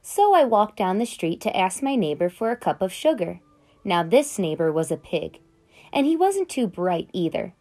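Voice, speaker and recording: A woman voicing a sly, smooth-talking male wolf who tells his side of the story in a chatty, conspiratorial way, read aloud from a children's picture book. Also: medium pitch, very animated, moderate pace, mellow.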